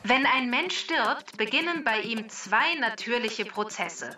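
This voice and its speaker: Bright, sharp female talking database, voiced by a woman. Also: on the high side, far from the mic, faint music behind. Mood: matter-of-fact, instructive. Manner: precise, instructive, measured. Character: clever female talking database that explains facts. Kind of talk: scripted explanatory narration for children.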